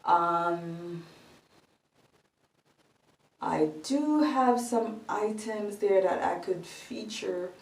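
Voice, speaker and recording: A woman with a Jamaican accent chatting slowly in a home vlog, thinking aloud with many ums. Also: medium pitch, creaky. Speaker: vlogger. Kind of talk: casual vlog chat. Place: room at home. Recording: echoey room, voice a bit far from the mic.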